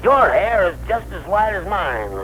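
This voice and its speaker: Stubborn elderly gentleman, mild and unhurried, highish pitch, vintage radio drama with faint noise. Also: sing-song, heavily acted. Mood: plaintive. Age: older. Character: stubborn old man, the Old Year, slow and mild. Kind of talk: 1960s radio drama acting. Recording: noisy vintage radio broadcast recording.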